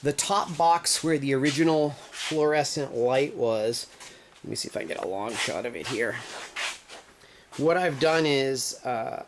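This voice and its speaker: DIY vlogger, male, mid-pitch, loose self-correcting explanations, camera mic in a garage with faint hiss. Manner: rambling, self-correcting explanations while filming. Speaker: DIY video maker. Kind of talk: casual workshop build walkthrough. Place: indoor garage workshop.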